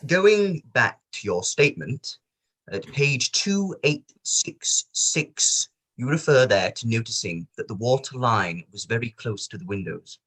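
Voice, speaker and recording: A man playing a formal, probing barrister who questions witnesses in a measured, courteous way, in a table read of a courtroom play. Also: medium pitch, lively intonation, fairly bright tone, breathy edge, low-quality recording.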